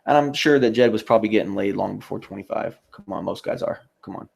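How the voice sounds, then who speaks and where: A man hosting a casual livestream, reading out chat comments and replying in a rambling, halting way. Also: on the low side, gravelly, a little mellow, slightly rough. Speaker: live stream host.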